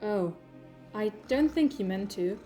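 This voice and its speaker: Clever, coaxing heroine female performer, mid-pitched, conversational and teasing, for an audio drama about an alien traveller. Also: lively intonation, unhurried, mellow, faint music behind, close to an everyday voice. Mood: playful, coaxing. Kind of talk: fan audio drama acting.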